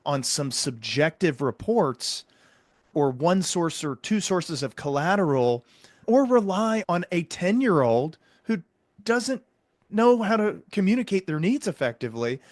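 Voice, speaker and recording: A man speaking as a medical provider and interview host, explaining his views in an earnest, measured, conversational way. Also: a little high, big pitch swings, dry recording, clean studio sound. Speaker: psychiatric provider and podcast host.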